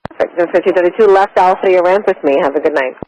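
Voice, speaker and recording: A man working as an airport tower controller, giving brisk, clipped radio instructions. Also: high voice, a little mellow, highs cut off, a little hiss or hum. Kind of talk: radio phraseology.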